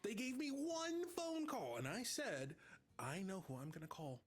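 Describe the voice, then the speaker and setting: A man playing a naive, excitable grown man raised as an elf in a live comedy table read, earnest and blunt. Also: sits high, a little slow, heavily acted. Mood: elated, adoring.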